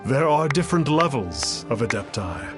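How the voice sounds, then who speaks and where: An actor playing a grandiose man posing as an immortal in a game, speaking of himself in stiff, archaic words. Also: medium pitch. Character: pompous self-styled male immortal, a fraud. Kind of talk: game cutscene voice acting. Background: game music.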